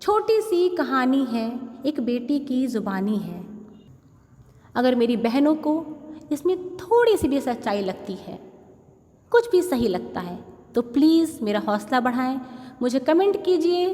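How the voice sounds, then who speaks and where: A woman hosting a story and poetry show, speaking expressively with deliberate, recital-like phrasing. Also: sits high, very animated, dark tone. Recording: long reverb with music behind.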